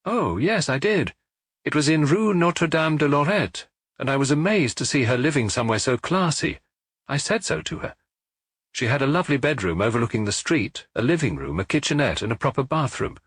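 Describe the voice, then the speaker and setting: A hard, unsentimental middle-aged woman, as a male reader puts her on, answering in a raised, lilting voice with a husky edge. She is plainly unbothered, matter-of-fact, and shrugs off whatever she is asked, in a dry-sounding audiobook reading. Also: on the high side, animated, fairly quick, dry recording, voice pushed up. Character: blunt unsentimental middle-aged woman, hairdressing shop owner.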